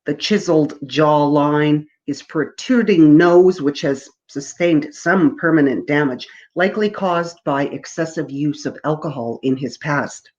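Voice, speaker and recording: Casting: female author from Canada, low register, storytelling with casual asides, slightly dull recording. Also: measured pace, few pauses, darkish tone. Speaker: author reading from own novel. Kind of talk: storytelling reading with informal asides.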